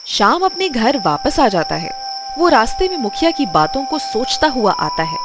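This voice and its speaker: Lively woman narrator over music. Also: on the high side, moderate pace. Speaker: story narrator. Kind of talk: scripted children's story narration.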